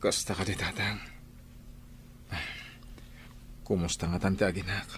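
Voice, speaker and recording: A man's voice: sits low, flat delivery, quick pace, a bit bright, a little hiss or hum. An actor playing an anxious, troubled young father in an Ilocano audio drama. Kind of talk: Ilocano radio-style audio drama acting.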